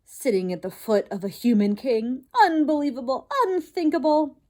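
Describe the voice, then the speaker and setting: Lady doing a dramatic worried dad mouse, yelling, all doom and fuss. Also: mid-range voice, expressive, measured pace, natural voice. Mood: outraged. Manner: dramatic, shouting in despair. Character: anxious, blustering father mouse.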